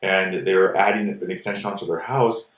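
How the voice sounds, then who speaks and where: A man who grew up in Ecuador tells stories as a podcast co-host, rambling and animated, with "like" in nearly every phrase. His voice sits low. The room around him is echoey. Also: a little fast. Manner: rambling and animated, many "like" fillers. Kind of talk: casual storytelling chat.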